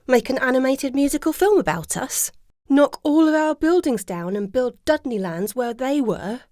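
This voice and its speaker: A woman's voice: on the high side, darkish tone, dry recording, studio quality, natural voice. A woman playing a principled charity worker in an audio play, firm, earnest and plain-spoken. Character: firm principled female leader of small charity group.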